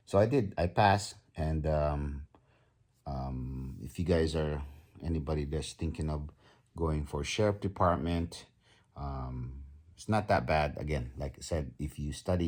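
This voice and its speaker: A man around fifty with a very deep voice. He has a husky edge and talks in a halting, casual way, stopping for ums and restarting his sentences as he goes. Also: darkish tone. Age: 50s. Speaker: vlogger. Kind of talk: casual vlog talk.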